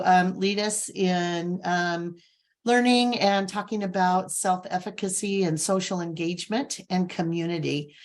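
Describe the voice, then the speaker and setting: A woman hosting an educators' webinar, introducing the presenters with frequent ums and thanks. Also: mid-range voice. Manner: warm and grateful, with frequent ums. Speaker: webinar host.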